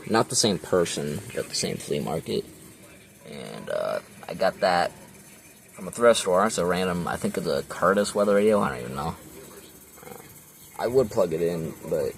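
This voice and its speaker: A man's voice: sits low, a little hiss or hum. A young man casually showing his radio collection in his bedroom, rambling with many ums, filmed point-of-view on a handheld camera. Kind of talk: casual show-and-tell.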